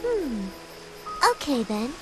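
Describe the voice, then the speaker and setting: A woman voicing a gentle, wistful young girl with magic powers in a video game, soft and wondering. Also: sits high, sing-song, takes it slowly, heavily acted. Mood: curious, playful. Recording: background music under voice.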